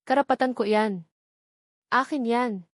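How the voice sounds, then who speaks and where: A woman's voice: on the high side, unhurried, no echo, studio quality. Woman acting a plaintive, spoiled young heiress. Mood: angry. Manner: plaintive, complaining. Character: spoiled young heiress, female, desperate and indignant.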